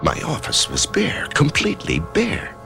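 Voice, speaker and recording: A man's voice: on the high side, huge pitch swings, a little slow, gravelly, bright, forceful tone, faint music behind, full character voice. An actor playing a troubled middle-aged office man who narrates his own story in a 1990s radio drama, hesitant and brooding. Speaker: narrator-protagonist.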